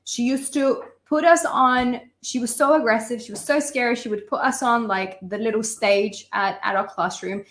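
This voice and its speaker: A woman's voice: a little high, steady tone, normal speed, few pauses. A young adult woman from Lithuania, a relationship coach giving a live online masterclass, talking with emphatic, impassioned repetition and calling listeners her loves. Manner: emphatic, impassioned delivery with lists of repeated phrases; addresses listeners warmly as sisters and loves.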